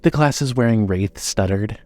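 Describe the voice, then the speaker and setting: Male narrator for horror audio fiction, lowish register, measured and dramatic. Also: heavy background noise.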